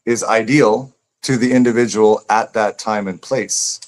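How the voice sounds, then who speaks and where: A man's voice: fairly low pitch, fairly even intonation, measured pace, low-quality recording. A man speaking as a guest author in a casual live interview, reflective and rambling, repeating phrases as he thinks aloud.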